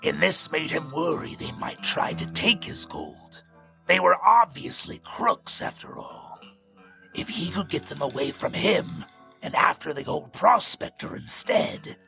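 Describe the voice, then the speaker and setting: Gravelly, slow male storyteller. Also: on the high side, very animated, bright, forceful tone. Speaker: narrator. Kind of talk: scripted story narration. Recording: music bed behind the voice.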